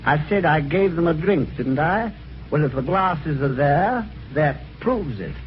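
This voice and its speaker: An actor playing an eager, excitable Englishman telling his own strange adventure in an old radio mystery, with a British accent and genteel old-fashioned phrasing. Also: fairly high pitch, unhurried. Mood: urgent, triumphant. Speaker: lead actor and first-person narrator. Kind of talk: golden age radio mystery acting. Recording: dull old radio recording, music behind.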